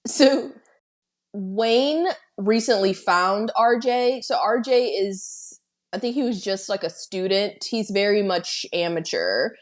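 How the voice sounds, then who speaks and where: A woman's voice: medium pitch, takes it slowly. Chatty woman podcast host recapping a film. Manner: chatty, retells scenes with excited quoted asides. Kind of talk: casual film-recap chat.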